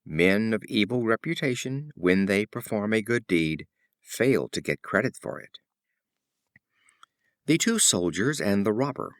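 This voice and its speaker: A man reading classic fables as an audiobook narrator, in an expressive and deliberate storytelling style. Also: fairly low pitch, no echo, clean studio sound. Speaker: audiobook reader.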